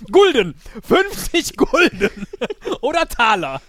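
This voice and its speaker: A man chatting casually as a podcast guest, with an animated, self-mocking and rambling way of talking. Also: extremely high pitch, extremely slow, a crowd in the background. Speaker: podcast guest, improv audio-play player. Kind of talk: casual chat.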